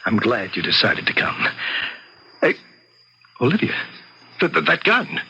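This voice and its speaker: An actor playing a frightened doctor in an old-time radio drama, halting and pleading with a dangerous patient. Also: male voice, mid-range voice, big pitch swings, gravelly, bright, forceful tone, slightly rough, exaggerated voice. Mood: anxious pleading. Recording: noisy, dull vintage radio recording.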